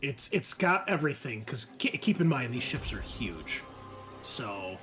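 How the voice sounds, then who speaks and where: A man narrating a tabletop horror game as game master, halting and thinking aloud as he describes the scene. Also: mid-range voice, big pitch swings, fairly bright tone. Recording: music behind the voice. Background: music.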